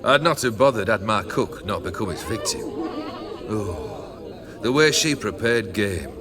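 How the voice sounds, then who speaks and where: A man's voice: on the low side, big pitch swings, unhurried. An actor playing a jaded, cursed male noble who leads a band of bandits, talking in a languid, sardonic drawl in a game cutscene. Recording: rowdy crowd of revelers behind.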